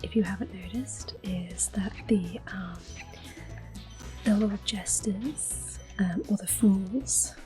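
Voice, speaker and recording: Female ASMR game host: soft, breathy whisper, unhurried delivery, medium pitch, light music underneath. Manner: gentle, slow, unhurried. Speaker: gameplay video host.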